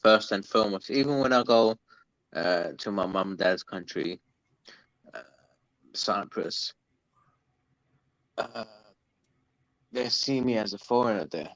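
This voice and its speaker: A man's voice: on the low side, measured pace, pauses often, a bit bright, dry recording. Chatty London man, lo-fi dry audio. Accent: London English. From London, England. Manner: chatty, hesitant, many ums and uhs. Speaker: livestream host reading chat. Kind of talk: casual chat.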